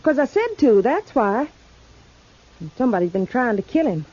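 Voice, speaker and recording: A woman's voice: on the high side, big pitch swings, normal speed, dark tone. A stubborn hillbilly girl, brusque, rural southern accent, old muffled western radio. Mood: stubborn, matter-of-fact. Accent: rural Southern American. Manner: brusque, defiant. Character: feisty, stubborn backwoods mountain girl.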